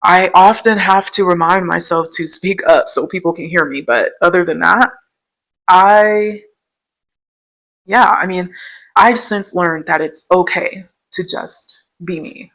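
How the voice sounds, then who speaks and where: A soft-spoken young woman hosting a podcast, telling her own story in a gentle, reflective and earnest way. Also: lowish voice, a little slow, rough recording. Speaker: podcast host. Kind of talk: personal storytelling monologue.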